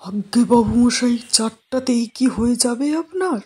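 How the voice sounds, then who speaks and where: A man's voice: very high-pitched, animated, moderate pace, background noise, pitched above the performer's own voice. A man voicing a deferential roti shop seller who is eager to serve.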